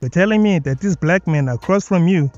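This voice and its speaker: A South African man picks apart clips in a mocking, sardonic tone. His voice sits a bit high for a man. The recording is dead dry and slightly dull, with faint music under it. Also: lively intonation, a little fast, darkish tone, no echo. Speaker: commentary video host. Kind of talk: sarcastic reaction commentary.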